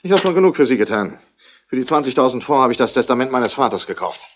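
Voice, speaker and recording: Actor as a haughty, animated, irritable gentleman. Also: medium pitch, fast talker, slight background noise, natural voice. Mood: cool, formal. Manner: curt, formal, haughty. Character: haughty, irritable wealthy man, cool and indignant. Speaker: actor in a radio play. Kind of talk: 1960s German radio play acting.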